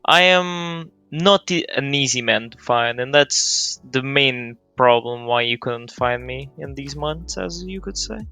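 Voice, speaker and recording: Man playing a slow, bright-voiced courteous mystery man. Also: medium pitch, smooth, no room sound, faint music behind. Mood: calm, assuring. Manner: formal, deliberate wording. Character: elusive, courteous male guild friend in fantasy world. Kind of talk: improvised anime fantasy roleplay.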